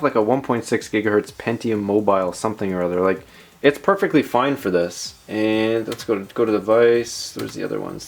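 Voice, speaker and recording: A man hosting a casual tech show-and-tell, talking informally in rambling asides. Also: medium pitch. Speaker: tech video host.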